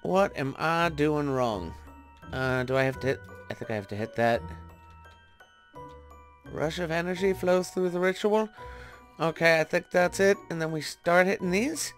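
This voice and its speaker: A man with a somewhat high-pitched voice gives casual gameplay commentary over background music. He thinks aloud as he goes, often questioning what he is doing in an informal, unscripted way. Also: measured pace, pauses often. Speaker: gaming video host. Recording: music behind the voice.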